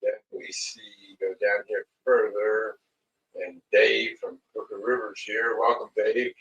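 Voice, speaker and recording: A man with a slightly raspy voice hosting a casual livestream in a halting, unhurried manner. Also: on the low side, fairly even intonation, fairly bright tone. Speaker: livestream host. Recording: muffled lo-fi audio, distant mic.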